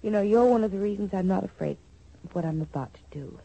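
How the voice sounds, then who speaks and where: An actress playing a devoted, trusting young grown daughter in a 1940s radio soap, earnest and affectionate. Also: female voice, medium pitch, fast talker, vocal fry, dark tone, breathy edge, rough recording.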